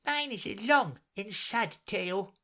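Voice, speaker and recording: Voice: mid-range voice, lively intonation, unhurried, pushed and bright, pitched above the performer's own voice. The narrator voicing an easily offended mouse, mournful and indignant, with a severe, huffy manner. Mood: sighing, mournful.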